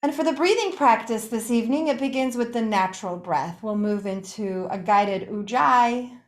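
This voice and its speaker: A woman teaching yoga nidra, leading a guided meditation in an unhurried, gently inviting way, with pauses between short phrases. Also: a little high. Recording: echoey, roomy sound.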